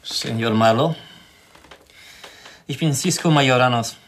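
An actor playing a polite, guarded Mexican hotel employee with a formal, courteous manner in a radio play. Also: male voice, medium pitch, measured pace, fairly bright tone, slight background noise. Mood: courteous, matter-of-fact.

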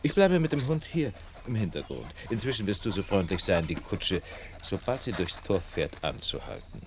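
A self-assured detective in a radio drama. His deep voice moves in lively swoops as he lectures wryly, measured and superior, over faint noise. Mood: wry, scheming. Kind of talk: radio play acting.

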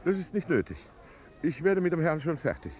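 An actor playing an oily, cowardly, flirtatious swindler of a baron, affected and formal, in a 1950s German radio drama. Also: male voice, on the high side, very animated, fairly quick, darkish tone. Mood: flustered indignation.

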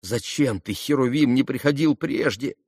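A man reading a story as a passionate, wounded and spiteful young woman given to shrill, impulsive outbursts. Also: normal speed, darkish tone, no room sound, studio quality, lightly acted. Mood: tearful adoration. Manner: shrill exclamations, impulsive swings between rage and anguish.

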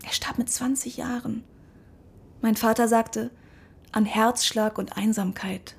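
An actress playing a wry, worried young woman with a husky, breathy voice in a radio drama. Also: a little high, steady tone, measured pace, a little hiss or hum. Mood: wistful. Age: young adult.

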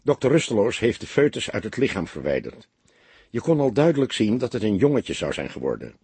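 A man's voice: fairly low pitch, big pitch swings, fairly quick, a little mellow, husky, dry recording, exaggerated voice. A man voicing an insecure, sensitive young police detective in an audiobook, speaking in a timid, hesitant, earnest way. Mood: shaken.

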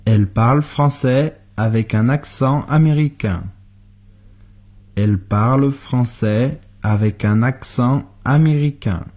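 A husky male voice, speaking very slowly and deliberately. He says each practice sentence for learners, then repeats it word for word. The pace is unhurried, like a drill on a radio lesson. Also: medium pitch, a little mellow. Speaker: co-host of a language lesson. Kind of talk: slow model sentences for learners.

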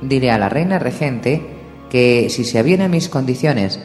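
A man's voice: medium pitch, animated, normal speed, darkish tone, faint music behind, close to an everyday voice. A man voicing a firm, commanding young northern king who sets terms and demands oaths, with a roomy, echoing sound. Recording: roomy echo with long reverb.

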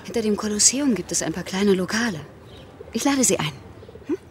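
Actress as a teasing, lively woman stranger. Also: medium pitch, brisk, soft background music, natural voice. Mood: inviting. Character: flirtatious, self-assured, helpful woman stranger. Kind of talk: German radio play acting.

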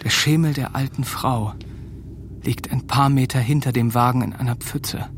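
An actor playing a sober soldier who narrates wartime events in a measured, literary radio drama style. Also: male voice, mid-range voice, steady tone, a little hiss or hum, close to an everyday voice. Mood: sombre.

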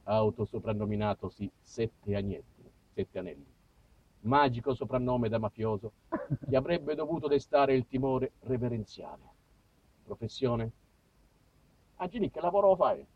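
A man with a southern Italian accent performing an expressive, theatrical comic monologue as a storyteller. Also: medium pitch, dry recording. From southern Italy. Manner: expressive, acts out dialogue, shifts between hushed and shouted. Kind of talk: theatrical comic monologue about small-town life. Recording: dull, distant sound with music.

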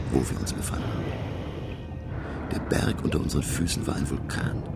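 An actor playing a learned professor who narrates his undersea adventure in a radio play, deliberate and full of wonder. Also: rumbling low, gravelly. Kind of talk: dramatic first-person radio play narration.

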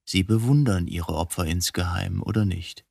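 An actor in a radio drama playing a coolly provocative, philosophical man who has confessed to killing his wife, speaking deliberately in probing rhetorical questions. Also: low-pitched, gravelly, a little mellow, breathy edge, dry recording, clean studio sound, heavily acted. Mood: cool, needling.

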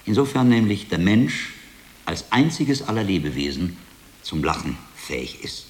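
An actor playing a shrewd, learned monk investigator in a German radio drama, speaking in a deliberate, insistent, articulate way. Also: male voice, lowish voice, sing-song. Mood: solemn reading. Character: shrewd, learned middle-aged monk investigator, William of Baskerville. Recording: long hall reverb, background noise.

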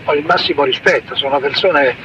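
A middle-aged man with a Roman Italian accent answering questions informally, halting and repeating his words. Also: a little high, lo-fi sound. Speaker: father being questioned. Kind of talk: informal recorded interview. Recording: loud traffic-like ambient noise.

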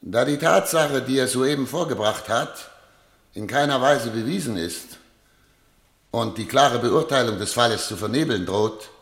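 A man playing a self-assured former prosecutor, now a judge, in a German radio play, with deliberate, oratorical delivery. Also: mid-range voice, creaky, fairly bright tone. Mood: oratorical, sarcastic. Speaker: actor in radio drama.

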